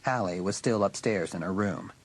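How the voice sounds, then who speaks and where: A man's voice: sits low, moderate pace, very noisy. A man reading scripted narration for a dramatised audiobook.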